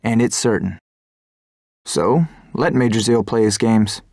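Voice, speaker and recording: Earnest young fighter pilot. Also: male voice, fairly low pitch, unhurried, darkish tone, no echo, studio quality. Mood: brooding, resolute. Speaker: fighter pilot protagonist and narrator. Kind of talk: video game cutscene narration and dialogue. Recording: music behind the voice.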